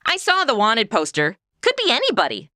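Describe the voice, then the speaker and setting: A woman's voice: fairly high pitch, wildly swooping pitch, fairly quick, bright, forceful tone, slight background noise, heavily acted. A woman doing a quippy modern girl. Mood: wry. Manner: sarcastic, quippy. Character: wisecracking modern young woman stuck in the old west. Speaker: title character. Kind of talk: comedy audio drama acting.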